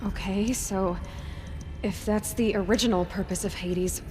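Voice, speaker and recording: A woman's voice: mid-range voice, steady tone, a little slow, music in the background, close to an everyday voice. A woman acting a determined young heroine in a video game, direct and probing. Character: determined, questioning young heroine, impatient for answers.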